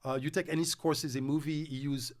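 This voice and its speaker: A middle-aged man with a French accent, a DJ talking as a guest on a casual podcast, animated and restarting his words as he tells stories. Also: on the high side, fairly quick. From France.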